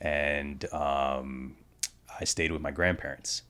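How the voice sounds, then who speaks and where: A man's voice: extremely low pitch, fairly even intonation, slow pace, a bit bright. A man in his thirties, born in the Dominican Republic, talking candidly as a podcast guest, reflective and full of "you know" fillers. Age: 30s. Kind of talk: candid conversational interview.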